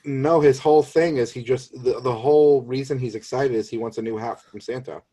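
A man's voice: mid-range voice, steady tone, a little fast, mellow. A man co-hosting a podcast in casual chat, with a conversational, playfully wry way of talking. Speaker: podcast co-host.

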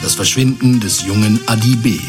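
A man's voice: on the low side, normal speed, music in the background. A man narrating and announcing a scripted audio drama.

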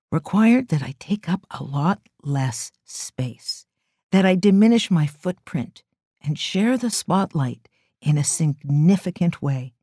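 Woman host, middle-aged, low mellow voice, earnest teaching talk. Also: no echo, studio quality. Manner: earnest, emphatic delivery. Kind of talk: reflective spiritual talk.

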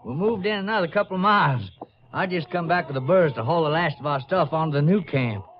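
A male actor plays a folksy, talkative trail foreman in a 1950s radio western. His easygoing country drawl is pitched slightly high, over a muffled and noisy recording. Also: animated, brisk, natural voice. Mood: friendly, easygoing. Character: friendly, talkative backcountry survey crew foreman. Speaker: supporting actor in a radio drama.

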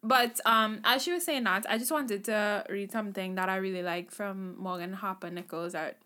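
A woman co-hosting a podcast in casual chat, animated and encouraging, laughing often. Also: mid-range voice, moderate pace, clean tone. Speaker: podcast co-host.

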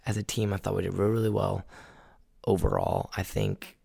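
A young man, a college volleyball player, talking casually in a vlog recap, his voice hoarse and raspy from a road trip. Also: sits low, sing-song, brisk, mellow, no room sound, clean studio sound. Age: young adult. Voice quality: raspy, gravelly.

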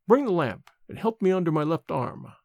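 Guy doing a super old stern nurse lady, slow and high and shaky, gravelly voice. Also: sing-song, darkish tone, dry recording, clean studio sound, exaggerated voice. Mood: scolding. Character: ancient stern old nurse woman, sharp-tongued.

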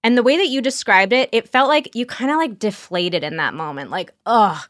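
A woman's voice: fairly high pitch, expressive, brisk, a bit bright, no echo, clean studio sound. A woman working as a sex therapist, asking probing questions and reflecting feelings back in a conversational session. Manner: probing, affirming, paraphrases the other person's feelings.

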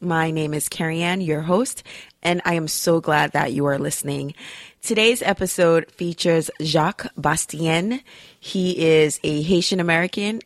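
A woman's voice: low voice, no room sound. A woman hosting a podcast, chatty and upbeat, with many fillers as she introduces her guest. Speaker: podcast host. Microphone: studio mic.

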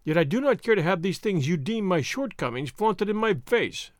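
A man voicing a proud, languid young gentleman with a thin voice and polite, precise manner in a storybook. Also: sits high, very animated, normal speed, dry recording, studio quality. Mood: cool rebuke. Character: languid, proud young gentleman aristocrat, aged twenty-four.

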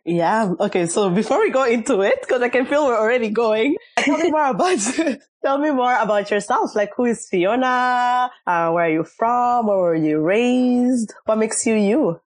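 A woman's voice: fairly high pitch, lively intonation, moderate pace, few pauses, dry recording. A woman hosting a podcast, chatting casually and informally with her guest as if with a girlfriend. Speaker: podcast host interviewing a guest.

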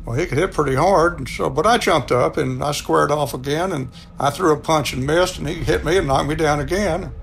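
Older man, Texan accent, folksy storyteller, creaky voice, personal anecdote with acted-out dialogue, faint music behind. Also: fairly high pitch, a little fast, hardly any pauses, fairly bright tone, rough recording. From Texas, United States. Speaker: storyteller, radio show contributor.

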